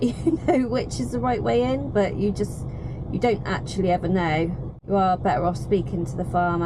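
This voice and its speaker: British female trucker vlogger, casual and explanatory, engine noise behind her. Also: mid-range voice. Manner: chatty, explanatory. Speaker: bulk tipper lorry driver and vlogger. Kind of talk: casual vlog commentary. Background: engine and vehicle noise.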